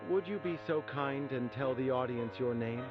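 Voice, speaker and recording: A man's voice: medium pitch, normal speed, lightly acted. A man playing a grandiose male inventor in an analog horror animation, presenting like a pompous, mock-courteous showman. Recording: dull lo-fi audio under loud music.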